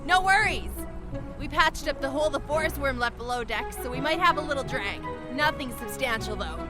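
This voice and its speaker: A breezy female airship mechanic voiced by a woman, very high and perky, reassuring her passengers in a fantasy audio story. Music plays clearly behind her. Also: expressive, brisk. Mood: breezy reassurance.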